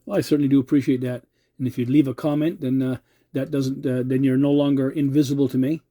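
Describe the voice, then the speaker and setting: A middle-aged or older man with a very warm, mellow voice recorded close and dry. He talks casually as a radio hobbyist, polite and measured. He often hesitates and doubles back on his words. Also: mid-range voice, flat delivery, no echo. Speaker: amateur radio operator hosting a video channel. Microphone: cell phone filming the radio.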